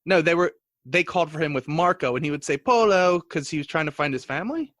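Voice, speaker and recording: A man's voice: fairly high pitch, fast, fairly bright tone, no echo, studio quality. A man chatting casually and jokingly as a guest co-host on a comedy show.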